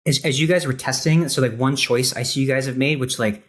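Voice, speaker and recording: A man hosting a podcast, asking questions in a casual, halting and informal way, often repeating words as he goes. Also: medium pitch, fairly bright tone. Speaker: podcast host, interviewer. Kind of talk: casual, conversational interviewing.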